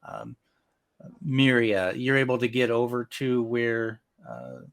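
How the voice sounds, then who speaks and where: A man improvising narration as game master of a tabletop game, halting and often rephrasing mid-sentence. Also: mid-range voice, sing-song, gravelly.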